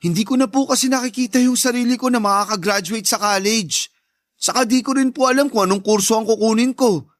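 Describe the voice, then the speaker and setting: A man's voice: extremely high pitch, a little fast, no room sound, studio quality. A young man playing a shy, apologetic son in a Tagalog radio drama, answering politely and timidly in his own defense. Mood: sheepish, downcast.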